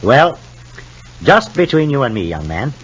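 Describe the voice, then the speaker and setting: A proud, affectionate father in a 1950s radio soap, a man not given to display. His speech rises and falls freely and is slightly husky, on a muffled vintage recording. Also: medium pitch, sing-song, unhurried, a bit bright, heavily acted. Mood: fond, gentle. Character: undemonstrative but proud, affectionate father of a young boy.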